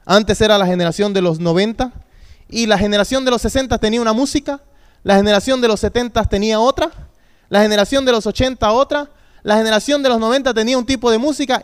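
High-voiced youth pastor preaching. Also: male voice, clear, resonant tone. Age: 30s. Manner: preaching with call-and-response, emphatic repetition. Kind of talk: sermon.

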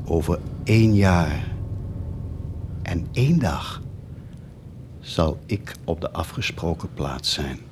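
A noisy radio play recording with low rumble behind it. a bitter, stern accuser with a very low voice. He speaks gravely and very slowly, often sinking to a half whisper. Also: lively intonation. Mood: bitter, solemn. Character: bitter, accusing betrayer who sternly keeps watch. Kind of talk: radio drama acting.

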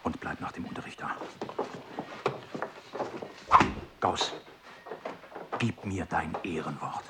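An actor playing a harsh village schoolteacher who likes to beat his pupils, barking orders and then turning hushed and menacing in a radio play. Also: low-pitched, a little slow, very noisy. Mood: hushed, stunned. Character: harsh old-school male village teacher who loves beating pupils.

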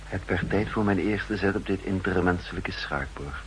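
An actor playing a wary spy narrating his private thoughts deliberately, in 1960s radio drama style. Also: deep voice. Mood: wary, reflective. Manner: deliberate, introspective. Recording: noisy vintage 1960s radio recording.

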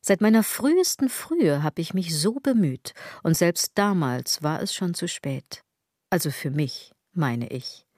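Sardonic woman, low-pitched radio drama monologue. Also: big pitch swings, unhurried, a little mellow, no room sound, clean studio sound. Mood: ironic. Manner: ironic, declamatory, wordplay-laden delivery. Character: sardonic aging woman musing on fashion and consumerism.

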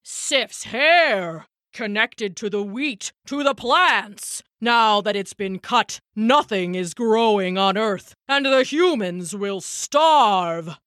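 A woman voicing a grave, commanding old father of the gods in a storybook tale, with slow, stern pronouncements. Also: mid-range voice, animated, a bit bright, dry recording, clean studio sound. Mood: grim, alarmed.

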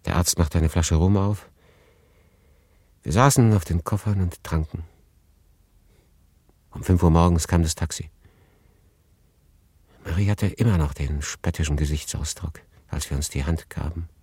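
A man's voice: low voice, measured pace, long pauses, a little mellow, no echo. An actor playing a melancholy, detached male refugee who narrates his story in a pensive, understated radio drama style. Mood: reflective. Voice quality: husky.

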